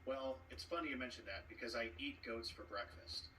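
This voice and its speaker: A man with a mid-pitched, sharp and forceful voice makes a boastful promo. He phrases it dramatically and deliberately, sounding slightly away from the mic. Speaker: trivia competitor. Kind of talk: trash-talk promo speech. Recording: distant mic with background noise.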